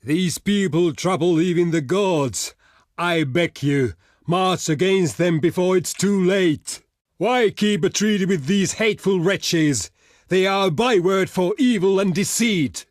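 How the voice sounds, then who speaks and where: A man's voice: fairly high pitch, no room sound, clean studio sound. A man playing a proud, blunt barbarian envoy in a video game, speaking in solemn, formal, theatrical oratory. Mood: pleading, urgent. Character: proud, grave male barbarian envoy, blunt and ale-loving. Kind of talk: video game character voice lines, theatrical declamation.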